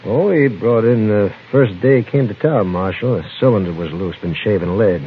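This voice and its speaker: Actor as obliging frontier gunsmith, thin radio sound. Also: male voice, on the low side, moderate pace, phone-quality sound, lightly acted. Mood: obliging, uneasy. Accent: American. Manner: deferential, folksy. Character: obliging small-town gunsmith, anxious and respectful. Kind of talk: old-time radio western acting. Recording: thin, hissy vintage radio recording.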